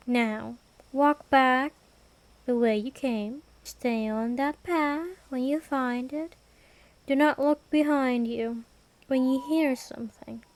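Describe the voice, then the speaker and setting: A woman playing an ancient vampire queen in an ASMR roleplay, aloof and menacing yet protective, with a measured, formal, imperious delivery. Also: on the high side, a little mellow. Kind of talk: ASMR roleplay, scripted fantasy monologue.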